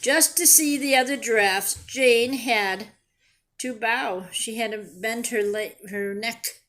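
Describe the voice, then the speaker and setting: A woman reading a picture book aloud to young children in an expressive, deliberate way, pausing to ask simple questions and explain things. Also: on the high side. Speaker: children's storyteller and teacher.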